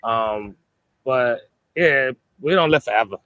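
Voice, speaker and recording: A man's voice: medium pitch, slow, muffled recording, background noise. A man chatting casually and profanely on a live show, thinking aloud in a rambling, laid-back way on an earphone mic. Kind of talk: casual chat, slangy and profane. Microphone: earphone microphone.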